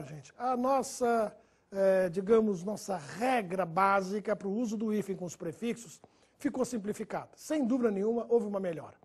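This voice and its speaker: Breathy male teacher explaining grammar. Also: sits high, a little mellow. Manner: didactic, lists words and checks in with "perfeito?" and "né?". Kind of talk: recorded grammar lesson.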